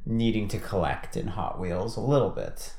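A man in his mid twenties chatting casually in short, low-key replies, with a roomy echo on the recording. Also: expressive, moderate pace, vocal fry, a loud crowd. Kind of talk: casual chat. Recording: roomy, echoing room sound.

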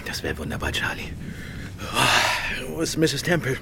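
An actor playing a calm, courteous, determined gentleman detective in a radio play. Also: medium pitch, unhurried, gravelly, slight background noise, heavily acted. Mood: tired, friendly.

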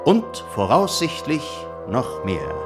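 An actor playing a pompous, indignant gentleman in a German radio drama, declaiming in a grandiose, emphatic manner. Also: medium pitch, wildly swooping pitch, slow, loud music behind the voice. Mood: triumphant. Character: pompous, theatrical gentleman reading out an indignant denunciation.

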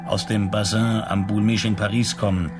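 A man narrating a science-fiction radio drama as a measured, scripted storyteller. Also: on the low side, little pitch movement, over music, lightly acted. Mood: detached.

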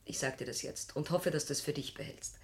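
A strict, pressing mother of middle age in a radio drama. Her voice is fairly low for a woman, and she explains and justifies with exasperated insistence. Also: fairly quick, creaky. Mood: confiding, insistent. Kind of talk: German radio play acting.

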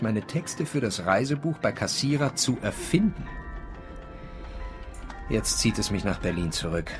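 Brooding, paranoid literary traveller, theatrical radio-play delivery, low register, prominent music underneath. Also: male voice. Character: feverish, paranoid German writer on a 1908 world voyage. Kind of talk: German radio drama, monologue and dialogue.

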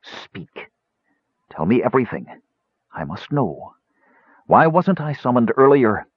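A man's voice: medium pitch, big pitch swings, measured pace, breathy edge, dry recording, muffled recording, voice pushed up. A man doing a snarling, bitter mage. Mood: intent. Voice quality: snarling, cutting delivery. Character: bitter, sharp-tongued male mage, scornful.

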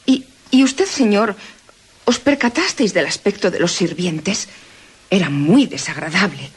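An actress with a Castilian accent playing a kindly, curious governess in a radio drama, genteel and a little hesitant. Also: female voice, mid-range voice, lively intonation, measured pace, husky. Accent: Castilian Spanish.

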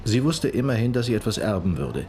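An actor playing a sober, persistent police detective in a radio drama, questioning people matter-of-factly. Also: male voice, lowish voice, sing-song, a little fast, a little mellow, slight background noise.

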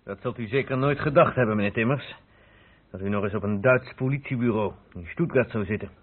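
A man's voice: lowish voice, fairly quick, narrow, tinny audio, natural voice. An actor playing a courteous, inquisitive male police inspector in a radio play. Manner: formal, inquisitive. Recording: thin old radio recording, faint noise.

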